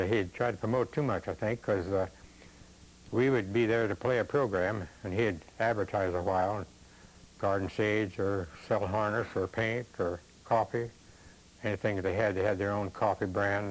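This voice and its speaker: A man's voice: on the low side, rough recording. An older man casually reminiscing as an interviewee. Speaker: interviewee, former radio musician.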